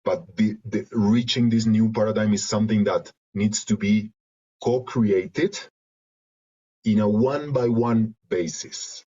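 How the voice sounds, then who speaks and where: A man from Argentina with a Spanish accent, reflecting in an interview in halting, searching phrases over a video call. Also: fairly low pitch, dark tone, slightly rough. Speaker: interview guest, creative and therapeutic facilitator. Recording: dull lo-fi video call audio.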